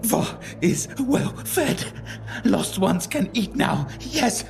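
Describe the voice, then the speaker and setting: A man's voice: extremely high pitch, very animated, gravelly, soft background music, pitched above the performer's own voice, heavily acted. A man voicing a servile, feral mutant pack leader in an audio drama, struggling to form each word. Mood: hungry, pleading. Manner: halting, laboured speech, struggling to form words. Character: feral mutated alpha neophyte, servile, bestial, slow-witted.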